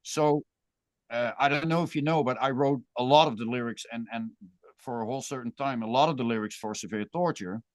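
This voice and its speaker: Dutch-accented male guest, casual and reflective, mid pitch, dry recording. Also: a little fast, dry recording. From Netherlands. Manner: thoughtful, rambling with restarts. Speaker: guest musician. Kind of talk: casual interview chat.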